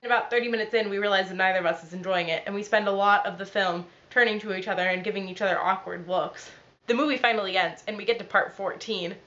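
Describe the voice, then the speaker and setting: A young woman who is ill and sounds nasal, telling a story in a quick, dry, deadpan vlog. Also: medium pitch, dull audio. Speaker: vlogger.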